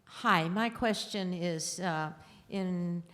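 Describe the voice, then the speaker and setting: A woman in an auditorium audience asking a panel a question, halting and full of pauses. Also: lowish voice, fairly bright tone. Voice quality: halting, many pauses and fillers.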